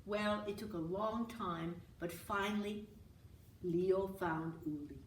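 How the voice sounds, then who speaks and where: A woman's voice: medium pitch, slow. A woman author reading a children's picture book aloud expressively, with small asides to the listeners. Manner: expressive, dramatic. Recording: roomy, distant mic with hiss.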